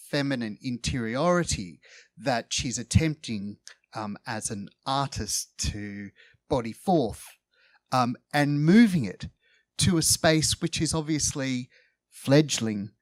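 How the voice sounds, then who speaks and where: A man speaking in a literary panel discussion, choosing his words deliberately in articulate, scholarly phrases. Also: mid-range voice, no echo.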